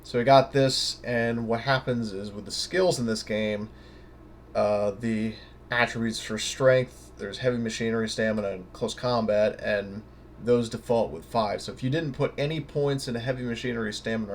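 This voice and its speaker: Low-voiced man, hesitant and casual, noisy recording. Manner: hesitant, halting, thinking aloud. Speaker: tutorial presenter. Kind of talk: casual unscripted screen tutorial.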